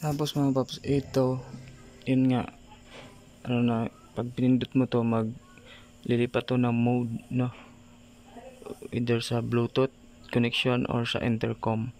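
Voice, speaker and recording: A man's voice: mid-range voice, level tone, unhurried, pauses often, a little mellow, a little hiss or hum. A Filipino man giving a casual hands-on product tutorial, talking informally to viewers like buddies. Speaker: vlogger presenting a product tutorial.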